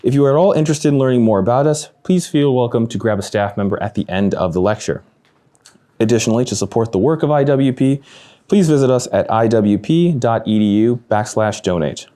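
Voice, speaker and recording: A man's voice: mid-range voice, expressive, brisk, mellow. A man hosting a lecture, reading announcements and a speaker introduction, stumbling and correcting himself now and then. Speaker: event host. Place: lecture room.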